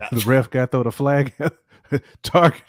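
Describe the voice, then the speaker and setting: A man's voice: mid-range voice, normal speed, no room sound, clean studio sound. An American man co-hosting a podcast in casual banter, slangy and repeating himself for emphasis. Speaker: podcast co-host.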